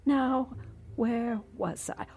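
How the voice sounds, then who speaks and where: An actress playing a genteel, condescending old woman recovering from a stroke in an audio drama, with breathy, halting, deliberate speech. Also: a little high, a little mellow, exaggerated voice. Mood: quietly menacing.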